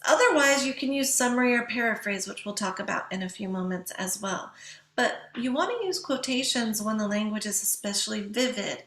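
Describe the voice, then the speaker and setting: Higher-voiced woman lecturing in an echoey room. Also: fairly bright tone. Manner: emphatic stress, slows down on key points. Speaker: English professor teaching writing. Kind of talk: lecture to students.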